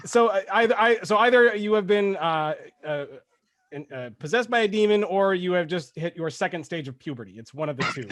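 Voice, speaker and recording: A man with a high voice co-hosts a casual podcast, laughing often and restarting his phrases. The sound is dry and close, with no room echo. He talks over a video call. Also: lively intonation, fairly quick, a bit bright. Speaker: podcast co-host. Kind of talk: casual chat.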